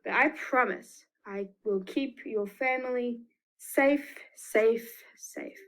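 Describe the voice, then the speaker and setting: A woman's voice: on the high side, fairly bright tone, low-quality recording. A woman voicing a sorrowful but resolute God in a children's picture book, repeating words with emphasis. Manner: slow, emphatic word repetition.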